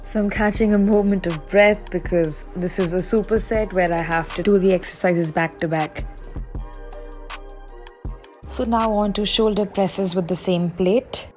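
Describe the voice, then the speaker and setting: A woman's voice: medium pitch, fairly even intonation, unhurried, darkish tone. A young woman with an Indian accent, talking casually as a fitness vlogger. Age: young adult. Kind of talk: casual vlog narration. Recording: music behind the voice.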